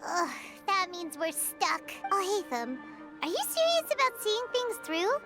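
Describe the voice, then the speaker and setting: A woman voicing a small, childlike fairy companion in an anime game, chirpy, curious and excitable. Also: extremely high pitch, unhurried, heavily acted. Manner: excitable, speaks of self in third person. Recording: music playing behind the voice.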